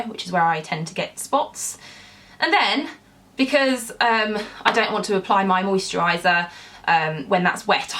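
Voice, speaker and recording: A young woman talks through her routine in a relaxed, chatty way. She sounds congested from a cold, and her talk is full of ums and little hedges. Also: mid-range voice. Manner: chatty, with frequent ums and kind ofs. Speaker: beauty vlogger. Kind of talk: casual skincare walkthrough.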